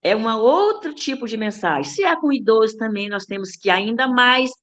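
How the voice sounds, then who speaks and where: An older woman from Brazil with a Brazilian accent, speaking as a radio guest and explaining communication in a measured, teacherly way. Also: on the high side, animated. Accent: Brazilian Portuguese. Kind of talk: explanatory talk.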